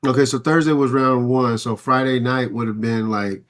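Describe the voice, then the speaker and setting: A man's voice: medium pitch, fairly even intonation, moderate pace. A man co-hosting a casual sports talk podcast, recounting and speculating in a loose, conversational way. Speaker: podcast host.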